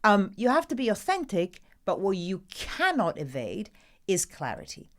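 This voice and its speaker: A woman's voice: medium pitch, huge pitch swings, normal speed. A middle-aged Italian woman, a newsreader guest, talking casually and emphatically with an Italian accent, often laughing. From Italy. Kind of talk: casual interview chat.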